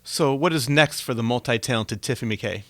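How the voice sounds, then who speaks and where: A man hosts a conversational interview show in a bright, forward voice of ordinary pitch. The sound is dry and close, with very little room around him. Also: normal speed, no room sound.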